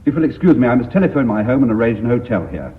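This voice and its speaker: A man's voice: medium pitch, big pitch swings, racing, close to an everyday voice. A man acting in a radio drama as a politely fussy board member. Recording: muffled narrow-band radio recording.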